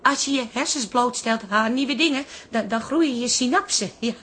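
An actress playing an anxious, sharp-tongued woman who covers her fear with blunt jokes, in a Dutch radio drama. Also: fairly high pitch, moderate pace, slight background noise, lightly acted. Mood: sardonic. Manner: sardonic, chattering, blunt.

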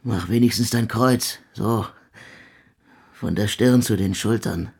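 Anguished composer, husky, slow delivery, bitter, archaic, declamatory, radio drama. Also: male voice, lowish voice, a little mellow, full character voice. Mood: grim, weary. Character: anguished, embittered male composer, cursing and self-accusing in grief. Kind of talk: literary radio drama acting.

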